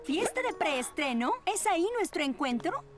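A woman voicing a sensible, outspoken teenage girl in a children's cartoon, frank and expressive, with a Latin American accent. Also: extremely high pitch, normal speed. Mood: indignant, mocking. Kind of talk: dubbed children's cartoon acting. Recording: music behind the voice.

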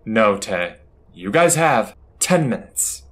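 A man's voice: lowish voice, sing-song, takes it slowly, a bit bright, full character voice. An actor playing a reluctant young spaceship crewman, grumbling in a curt way. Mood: grumpy, reluctant. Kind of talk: audio drama acting.

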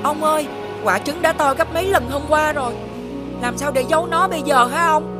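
Earnest child prince played by a woman, high and dutiful, animated folk tale. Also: a little fast, natural voice. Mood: excited. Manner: polite, childlike. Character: earnest young boy prince, polite and dutiful. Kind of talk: animated fairy tale dubbing. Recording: background music under the voice.

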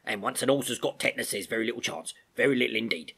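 A man voicing a blunt, loyal army sergeant in a storybook, brisk and plain-spoken with rustic grammar. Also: medium pitch, creaky, sharp tone, husky, heavily acted. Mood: grave.

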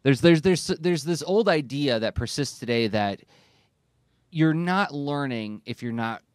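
A man's voice: medium pitch, lively intonation, measured pace, clean tone, no room sound. A man, hosting a conversational podcast discussion into a close studio microphone. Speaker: podcast host. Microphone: close studio microphone on an arm, with headphones.

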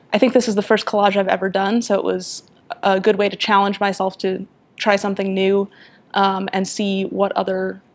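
A woman explaining her artwork in a plain, unhurried way with filler words. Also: medium pitch. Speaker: artist describing her contest pieces.

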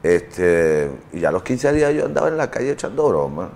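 A man's voice: sits low, sing-song, fairly quick, gravelly, a little mellow, a little hiss or hum. A man in his fifties, born in Italy, speaking as a guest in a TV studio on a lapel mic, telling stories in a loose, rambling way full of fillers. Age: 50s. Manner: rambling storyteller, chuckling, with fillers. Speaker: interview guest. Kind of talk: casual chat.

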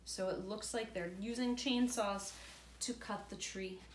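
A woman's voice: mid-range voice, steady tone, unhurried. A middle-aged woman reading a picture book aloud and explaining it to young students as their teacher. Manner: explanatory, addressing young children. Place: indoor room at home, by glass door. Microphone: camera a few feet away. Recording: roomy echo, faint room noise.

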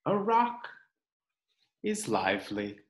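Expressive, slow-paced man reading aloud, roomy sound. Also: mid-range voice. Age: 30s. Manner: deliberate, explanatory, dramatic emphasis on key words. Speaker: read-aloud presenter. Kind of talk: expressive children's picture book read-aloud. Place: small room at home, indoors. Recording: roomy home recording.

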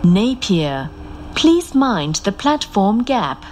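A woman making scripted train announcements in a formal, clearly enunciated, measured manner. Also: mid-range voice, very animated, very noisy. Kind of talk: scripted recorded transit announcements. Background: loud moving train ambience.

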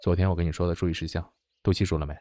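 A man's voice: deep voice, flat delivery, very fast, warm tone, close to an everyday voice. A man acting a caring, protective young man in an amateur Chinese audio drama. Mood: playful, caring. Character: caring young man, protective older-brother type, worried but doting.

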